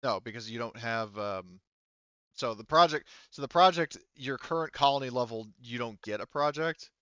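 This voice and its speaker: A man running a tabletop game as game master, explaining rules casually and haltingly as he thinks aloud. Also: mid-range voice, bright, forceful tone, clear, resonant tone, dry recording, dull audio.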